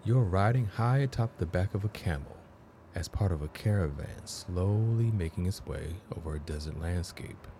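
A man narrating a guided meditation as host, in measured phrases with long pauses between them. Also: sits low, lively intonation, warm tone, slight background noise.

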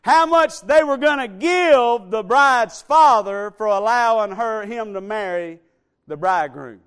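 High-voiced preacher, loud and soft by turns. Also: male voice, animated, measured pace, clean tone. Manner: swings between loud shouted build-ups and soft low appeals. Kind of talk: sermon.

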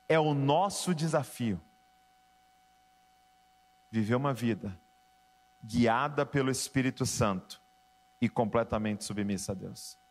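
A man preaching a sermon to a congregation, emphatic and rising to passionate exhortation. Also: mid-range voice. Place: church with keyboard behind.

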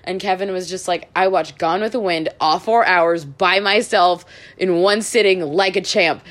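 A woman with a fairly low voice co-hosts a podcast. She talks casually and tells personal anecdotes in a conversational style. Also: normal speed, a bit bright. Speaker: podcast co-host. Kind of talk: casual chat, storytelling.

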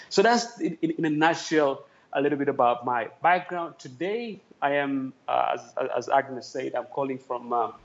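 A man from Tanzania, a guest calling in remotely to a podcast, answering thoughtfully with many "uh" pauses. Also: medium pitch, lo-fi sound. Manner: thoughtful, many "uh" fillers, earnest. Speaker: podcast guest, talent development executive. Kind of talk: conversational interview answer.

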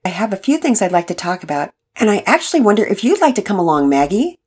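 A woman's voice: mid-range voice, sing-song, quick pace, husky, pitched above the performer's own voice. A woman voicing a middle-aged father who talks hesitantly and apologetically. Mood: friendly. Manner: hesitant, warm.

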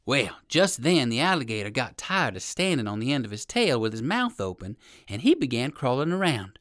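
A woman narrating a children's story in an expressive, emphatic storybook style, speaking to young listeners. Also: extremely low pitch, normal speed, dry recording, clean studio sound.

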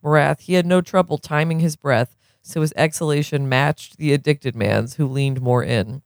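A man's voice: a little high, steady tone, moderate pace, no echo, studio quality. A man reading a literary novel aloud as narrator. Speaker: reader, co-host.

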